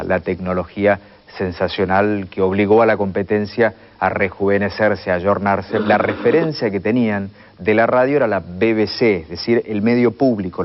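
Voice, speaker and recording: Low-voiced Argentine man explaining history. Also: lo-fi sound. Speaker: interviewed radio commentator. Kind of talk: explanatory documentary interview.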